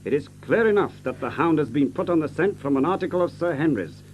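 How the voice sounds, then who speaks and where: An actor playing a shrewd, commanding gentleman detective in an audio drama, with an incisive, precise delivery. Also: on the high side, animated, fast, slight background noise, natural voice. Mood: grave deduction.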